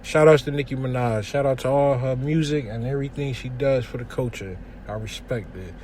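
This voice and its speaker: A young man from hosting a casual solo podcast from his kitchen, giving news commentary in a relaxed, slangy way with lots of shout-outs. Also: medium pitch, fairly quick, slight background noise. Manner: laid-back slangy talk with frequent shout-outs. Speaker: podcast host.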